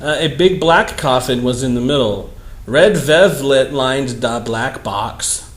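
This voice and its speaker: A man's voice: mid-range voice, takes it slowly, echoey room. A man giving a mocking dramatic reading with casual, amused, sarcastic commentary. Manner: amused, sarcastic, often breaking into giggles. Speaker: commentary show host.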